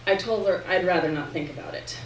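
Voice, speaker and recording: A woman giving an expressive first-person reading of a novel chapter at a live event, with dramatic pauses and shifting emphasis. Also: fairly low pitch. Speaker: reader performing a novel chapter to an audience. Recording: roomy, distant mic, faint noise.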